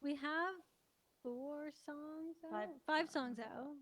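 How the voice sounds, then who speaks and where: A woman from Canada talks as a musician guest in a casual interview. Her voice is high, and the sound is dry and close with little room to it. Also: slow pace, a bit bright, no room sound.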